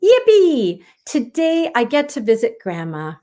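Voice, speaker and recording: A woman reading a storybook as an eager, excitable young granddaughter, bubbly and pleading. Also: fairly high pitch, a little slow, pitched above the performer's own voice. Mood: excited.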